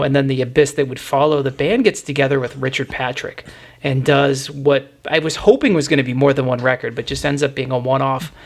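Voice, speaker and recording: A man's voice: mid-range voice, gravelly, a bit bright. A man hosting a music podcast, chatting casually in an enthusiastic, opinionated way. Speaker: podcast host.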